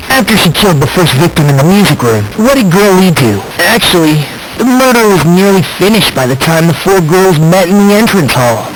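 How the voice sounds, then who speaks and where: A man voicing a polite, musing teenage boy in an anime video game, unsettling and fervently hopeful, on noisy game audio. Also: high voice.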